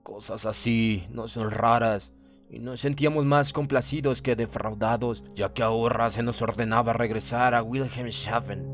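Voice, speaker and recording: A man's voice: medium pitch, fairly even intonation, moderate pace. Man narrating horror over music. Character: stern, proud German submarine officer recounting events. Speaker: audiobook narrator. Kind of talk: dramatic scripted narration of a horror story.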